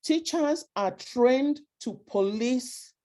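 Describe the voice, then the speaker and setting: A woman's voice: high voice, animated, takes it slowly, dark tone. A woman with a Nigerian accent giving earnest, emphatic advice to parents and repeating her key points. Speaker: speaker advising parents. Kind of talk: advice talk to immigrant parents.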